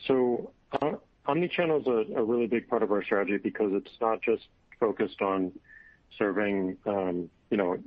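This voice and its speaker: A man with a fairly low, very even voice answers as a company executive. His phrasing is halting and unscripted. The recording is a narrow, phone-like conference line. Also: no echo. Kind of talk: unscripted business answer on an earnings call.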